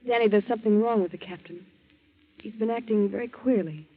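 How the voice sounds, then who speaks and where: An actress playing an anxious, trusting young American fiancee in a 1940s radio drama. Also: female voice, a little high, brisk, lightly acted. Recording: thin, narrow 1940s radio recording.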